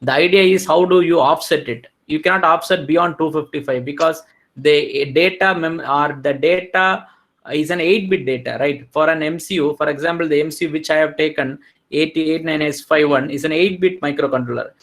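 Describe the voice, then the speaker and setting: A man's voice: a little high, normal speed, a bit bright. A man with an Indian English accent giving a technical webinar over a video call, explaining step by step and often checking in with "right?". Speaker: webinar speaker.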